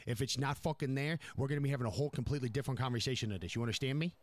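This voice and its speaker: A man's voice: mid-range voice, very fast, lightly acted. A man playing a furious, domineering New York mob boss in an online game roleplay, browbeating and repeating himself with heavy profanity.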